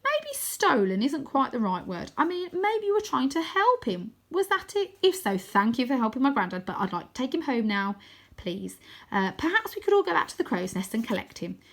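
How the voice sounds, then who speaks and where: A woman's voice: high-pitched, big pitch swings, normal speed, a bit bright, voice pushed up, exaggerated voice. A woman voicing an earnest young boy in a storybook, scared but plucky and polite. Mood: nervous, pleading. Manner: hesitant, earnest pleading.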